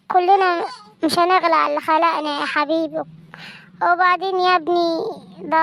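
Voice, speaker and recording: Young woman doing a frail old Egyptian granny, lonely and pleading, very high voice, quick talker. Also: gravelly, a bit bright, full character voice. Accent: Egyptian Arabic. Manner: mournful, pleading, calls everyone "my dear". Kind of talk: amateur acted skit.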